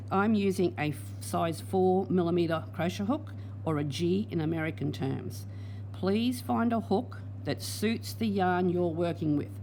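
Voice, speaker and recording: A woman guides learners through a crochet block in a patient, step-by-step way. Her voice is worn and hoarse. A faint hum sits under the recording. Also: fairly low pitch, a little mellow. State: losing her voice. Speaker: crochet teacher. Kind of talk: crochet tutorial.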